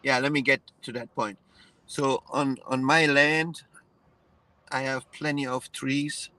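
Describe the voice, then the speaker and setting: A man from Iran, raised in Germany, talking in a relaxed conversation with many hesitant fillers and restarts. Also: mid-range voice, takes it slowly, no echo. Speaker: guest. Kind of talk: casual conversation.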